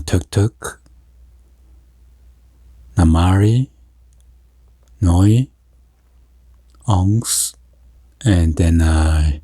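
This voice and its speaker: A man casually narrating an unboxing in a halting, hesitant way, as the host of the video. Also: sits low, dark tone, dry recording, studio quality. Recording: noisy, with crinkling packaging and clicks. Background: packaging crinkling and clicking.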